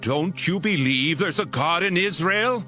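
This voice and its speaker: A grave, commanding male prophet in an audio Bible drama. His fairly high voice swoops widely with solemn, declamatory emphasis, set over clear background music. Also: expressive, unhurried, pushed and bright. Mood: stern, condemning.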